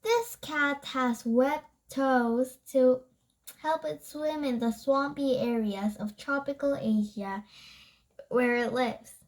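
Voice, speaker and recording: Child, high voice, slow halting read-aloud, stumbles and rereads. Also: poor audio. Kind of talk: reading a children's nonfiction book aloud.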